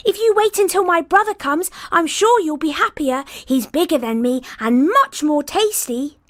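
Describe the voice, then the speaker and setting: A woman voicing the tiniest billy goat in a storybook, with a small, squeaky, pleading voice. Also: animated, normal speed.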